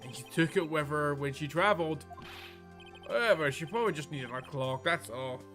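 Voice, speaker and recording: An earnest young male lawyer from a visual novel game, read aloud by a man, wry and a little halting. The voice is bright, with faint music behind. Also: a little high, natural voice.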